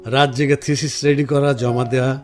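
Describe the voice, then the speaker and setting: A man's voice: mid-range voice, level tone, normal speed, a little mellow, faint music behind, close to an everyday voice. A man acting a caring, reassuring husband with a professional job in a Bengali radio drama. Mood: devoted, reassuring.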